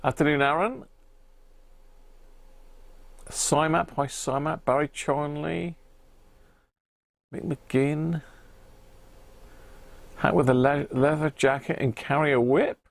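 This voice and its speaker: Croaky man, chatty stream host. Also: on the high side, fairly even intonation, slow, long pauses, dry recording. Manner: chatty, greets viewers by name, self-deprecating. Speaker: radio repair livestream host. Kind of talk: casual live-stream chat.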